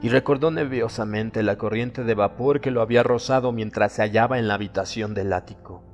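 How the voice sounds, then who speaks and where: Male horror audiobook narrator, fairly deep, deliberate and suspenseful, scripted dramatic read with music underneath. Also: fairly even intonation, muffled recording. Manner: suspenseful, theatrical, deliberate phrasing.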